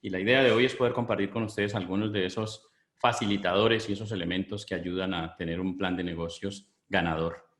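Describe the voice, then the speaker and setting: A middle-aged Colombian man with a Colombian Spanish accent, giving a business webinar in a measured, explanatory way, often pausing on fillers. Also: low-pitched, fairly even intonation, a bit bright, echoey room. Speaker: business consultant presenting a webinar. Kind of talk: webinar presentation.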